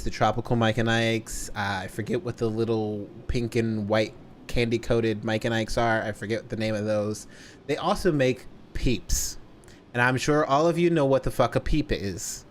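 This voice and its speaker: A man telling a story casually to a livestream audience, deliberately stretching words for emphasis. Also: mid-range voice, a little hiss or hum.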